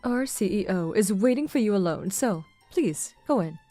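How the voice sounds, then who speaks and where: Woman acting a mellow, polite office receptionist. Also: mid-range voice, lively intonation, moderate pace, soft background music, close to an everyday voice. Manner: polite, formal. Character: polite female office receptionist ushering in a candidate. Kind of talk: manga dub dialogue.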